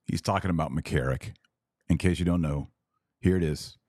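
A man hosting a podcast, giving informal, emphatic commentary with deliberate repetition. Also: low voice, darkish tone, no room sound, studio quality. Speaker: podcast host. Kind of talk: informal commentary on a played clip.

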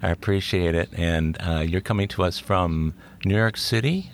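A man's voice: deep voice, moderate pace, slight background noise. A man speaking as a podcast host, delivering introductions and interview questions. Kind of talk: scripted introduction, then conversational interviewing.

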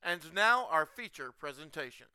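A man with a high voice hosts a podcast, reading a prepared review. The sound is dry, with very little room around it. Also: lively intonation, moderate pace, sharp tone, clear, resonant tone, no echo.

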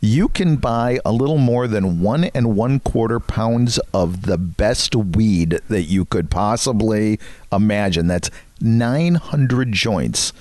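A man's voice: lowish voice, lively intonation, slow, darkish tone. A man co-hosting a podcast in casual comic chat, with a wry, incredulous, salty way of talking. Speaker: podcast co-host.

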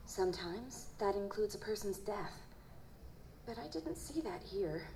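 A gentle, earnest psychic, played by a woman, speaks with a soft-edged, mellow tone. Her delivery is hesitant and solemn, as in audio drama, with some background noise around her. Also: medium pitch, animated, a little slow, rough recording. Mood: somber, thoughtful. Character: earnest, gentle female psychic who reads ghostly impressions. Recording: live performance with background noise.

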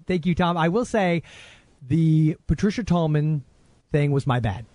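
A man's voice: on the high side, mellow, no echo. A man co-hosting a podcast, chatting casually and jokily as he reads listener feedback. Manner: jokey, self-interrupting, quick to laugh. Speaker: podcast co-host.

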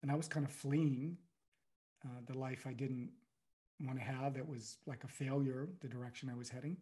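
A middle-aged man from the United States, a guest speaking reflectively in an interview, pausing often and filling with "you know". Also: medium pitch, steady tone, warm tone. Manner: thoughtful, halting, many "you know"s.

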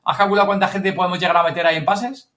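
Male podcast host, accent from Spain, fairly high voice, informal and chatty delivery. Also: steady tone, very fast, bright, forceful tone. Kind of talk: casual podcast chat.

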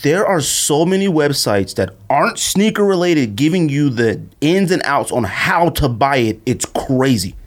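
A man co-hosting a podcast in casual, slangy, animated chat, telling stories and often amused. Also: fairly high pitch, a little slow, hardly any pauses. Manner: animated storytelling, frequent laughter, slangy. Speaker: podcast co-host. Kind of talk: casual chat about sneakers.

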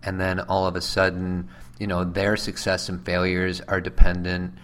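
A man's voice: deep voice, monotone, moderate pace, fairly bright tone. A man in his thirties co-hosting a podcast, explaining his view in a casual talk. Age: 30s. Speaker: podcast co-host. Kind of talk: casual conversation.

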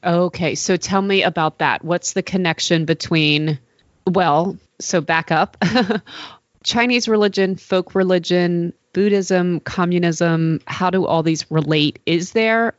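A woman podcast host with a lowish voice asks curious questions and backs up her guest with frequent encouraging murmurs. The sound is dry and close, with a dull, lo-fi tone. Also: slow pace, no echo. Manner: encouraging, lots of affirming murmurs. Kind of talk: interview podcast.

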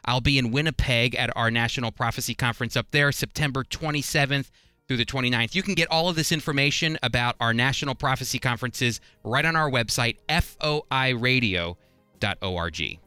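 A man's voice: mid-range voice, a little fast, pushed and bright, clean tone, no room sound, studio quality. A man speaking as a radio host and teacher, giving announcements in an informal, inviting, conversational way.

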